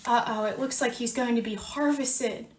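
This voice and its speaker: A woman's voice: a little high, steady tone, moderate pace, reverberant, slight background noise. A woman teacher leading a picture-book read-aloud, speaking simply and instructively and prompting children with questions. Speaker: teacher reading aloud to students.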